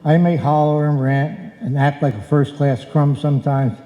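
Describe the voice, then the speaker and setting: A man playing a blustering but sentimental husband in a live old-time radio comedy, with halting, emotional delivery. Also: on the high side, steady tone, mellow, dull audio, close to an everyday voice. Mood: tender. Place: indoor hall stage, live performance. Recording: echoey hall, live stage performance.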